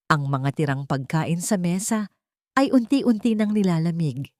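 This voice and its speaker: A woman narrating a scripted dramatic story in measured phrases with deliberate pauses. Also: on the low side, lively intonation, darkish tone, no echo, studio quality.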